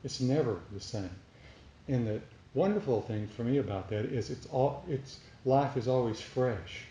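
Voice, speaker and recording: A man giving a reflective spiritual talk to a group as a meditation teacher, speaking deliberately with pauses between short phrases. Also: mid-range voice, dark tone. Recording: roomy echo, faint background noise.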